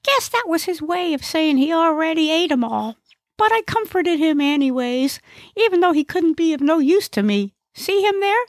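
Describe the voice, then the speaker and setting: Give this the sound of a very high-pitched, wildly swooping furry male creature played by a woman. He is dim, food-obsessed and blissfully oblivious, mangling his words like a child. The recording is dry, for a comic storybook. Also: lively intonation, moderate pace, no echo. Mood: cheerfully oblivious. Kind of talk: comic audiobook character acting.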